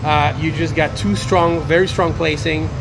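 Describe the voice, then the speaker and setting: A man's voice: fairly high pitch, steady tone, moderate pace. A man interviewing a guest at a gym, friendly and rambling, restarting his questions often. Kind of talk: casual interview. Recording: clear background noise.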